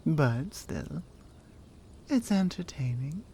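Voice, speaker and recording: Actress as teasing Nordic warrior woman, ASMR audio drama. Nordic accent, mellow and slow, playfully dry and doubting. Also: deep voice, sing-song, a little hiss or hum, heavily acted. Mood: amused, skeptical. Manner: playful, dry, conversational with pauses. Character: strong, teasing, skeptical female Nordic warrior.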